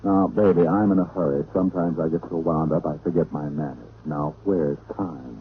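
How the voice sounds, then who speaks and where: A low-voiced American private eye on a thin, noisy vintage radio recording. He is hardboiled but charming, with glib, breezy wisecracks. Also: steady tone, moderate pace, phone-quality sound. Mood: flirtatious wheedling. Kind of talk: 1940s radio detective acting with narration.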